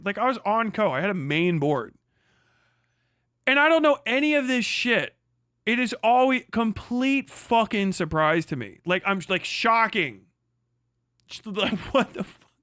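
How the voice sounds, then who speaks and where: A man's voice: sits high, pushed and bright, smooth, no room sound, studio quality. Crude, incredulous young man streamer. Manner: crude, incredulous, laughing a lot. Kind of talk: casual chat.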